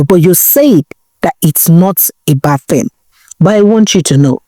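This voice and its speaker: A woman voicing a loving old grandmother who gives an earnest warning, a little lower than her narrating voice. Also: mellow, dry recording, lightly acted.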